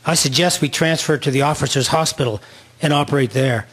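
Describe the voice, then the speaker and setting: Man playing a husky, businesslike army surgeon. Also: fairly high pitch, quick pace, fairly bright tone. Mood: serious, decisive. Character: businesslike male army eye specialist. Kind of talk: radio drama acting.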